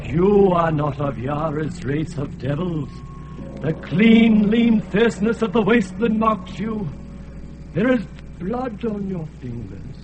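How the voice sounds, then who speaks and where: An anguished blind ancient god. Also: male voice, high-pitched, lively intonation, lo-fi sound. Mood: mournful. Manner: slow, anguished, mournful. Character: ancient blind tortured elephant-headed god, male. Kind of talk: radio drama acting.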